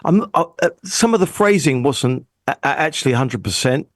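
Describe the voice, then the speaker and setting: A man's voice: medium pitch, expressive, normal speed, no echo, studio quality. A man giving a casual spoken critique as a panellist, hesitant and often restarting his words. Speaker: music review panellist.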